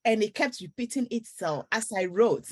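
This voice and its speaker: A woman's voice: mid-range voice, expressive, normal speed, a little mellow. A woman author from Ghana, telling her story earnestly in a faith interview. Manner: earnest storytelling, breaks into song.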